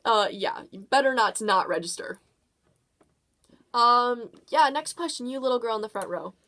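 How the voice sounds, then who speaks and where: A woman's voice: fairly high pitch, animated, moderate pace, pushed and bright. A woman playing a polite male official answering questions in a puppet show. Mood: friendly, apologetic.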